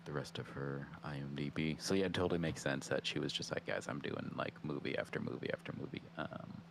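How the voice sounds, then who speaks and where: A man's voice: extremely low pitch, brisk, creaky. A man with an American accent chatting casually as a podcast co-host, agreeable and loose in his commentary. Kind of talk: casual chat, reacting and commenting. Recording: noticeable background ambience noise.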